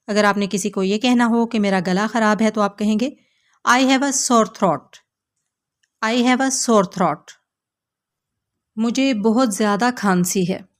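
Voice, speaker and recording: A woman teacher talks at a very unhurried, deliberate pace. She drills each phrase slowly and repeats it, then explains it in Hindi-Urdu. Her pitch sits in a middle range. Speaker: English teacher.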